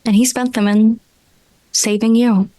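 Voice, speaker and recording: A woman running a tabletop game, narrating horror scenes in a deliberate, suspenseful, dramatic style. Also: on the high side, flat delivery, dark tone, no room sound, rough recording. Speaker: game master.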